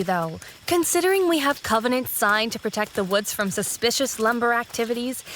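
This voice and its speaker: A woman's voice: a little high, fairly bright tone, slight background noise. A woman playing a wry, casually grumbling forest guard in a fantasy audio drama.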